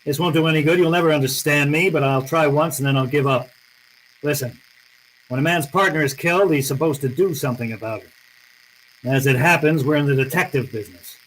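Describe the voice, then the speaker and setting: Radio drama detective, male actor: tough, cynical, clipped and accusing, slightly high male voice, noisy background. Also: fairly quick, natural voice. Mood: bitter, resolute. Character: hard-boiled male private detective, cynical, unyielding. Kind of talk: old-time radio drama acting.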